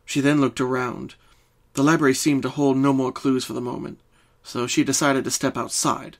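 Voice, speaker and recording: Male narrator for a scripted dramatic reading, mid-range pitch, storytelling delivery. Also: flat delivery, moderate pace.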